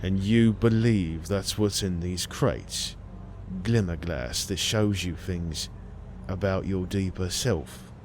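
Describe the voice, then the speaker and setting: A man's voice: low-pitched, slow pace, a little hiss or hum. A man voicing a wary scrap diver in an audio story, dry and incredulous.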